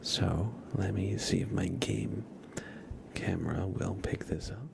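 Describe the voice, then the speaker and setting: A man hosting a casual ASMR livestream, speaking in a soft, hushed, whispery murmur close to the microphone. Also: sits low, steady tone, slow pace, creaky, noisy background.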